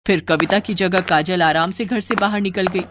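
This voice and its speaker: A woman's voice: on the low side, steady tone, moderate pace, noisy background. A woman narrating a scripted moral story as a storyteller.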